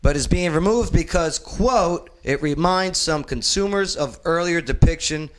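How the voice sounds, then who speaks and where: A forty-year-old man hosting a casual panel chat in a joking, animated way. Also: on the high side, a little slow. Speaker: talk show host.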